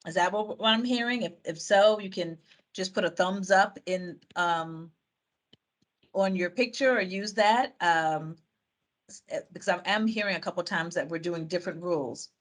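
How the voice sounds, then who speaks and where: A woman's voice: lowish voice, moderate pace. Chatty woman running an online meeting. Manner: chatty, thinks aloud with fillers and restarts. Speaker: meeting facilitator. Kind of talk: reading out and summing up audience answers on a video call. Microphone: video call.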